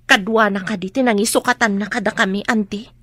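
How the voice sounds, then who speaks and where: A woman's voice: medium pitch, steady tone, brisk, a bit bright, slight background noise, lightly acted. A woman playing a troubled, hurt but dutiful adult eldest daughter in a radio drama. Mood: anxious.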